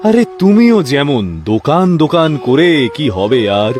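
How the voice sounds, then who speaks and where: A man's voice: fairly high pitch, very animated, moderate pace, background noise. A man playing a friendly village neighbour in a cartoon, chatting familiarly and giving advice. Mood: genial. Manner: familiar, advising. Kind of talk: cartoon voice acting.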